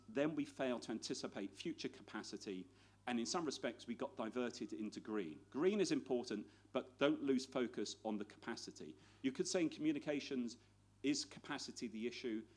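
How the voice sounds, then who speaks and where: A man speaks at a conference panel in clipped, list-like points. His tone stays emphatic and his pitch stays in a middle range. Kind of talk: conference presentation.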